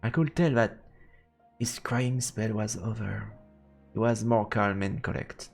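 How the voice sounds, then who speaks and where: A man's voice: fairly low pitch, steady tone, unhurried, vocal fry, a little mellow. A man reading a visual novel aloud on a live stream in a playful way, with stammered asides. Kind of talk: live let's play, reading narration with reactions. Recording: background music under the voice. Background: game music.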